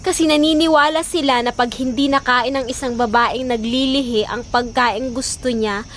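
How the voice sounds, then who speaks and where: A pouty pregnant girlfriend in an audio drama. Her voice is very high, whiny and insistent, full of vain demands. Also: fairly even intonation, moderate pace, fairly bright tone, slight background noise. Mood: insistent, boastful. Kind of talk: Filipino audio drama voice acting.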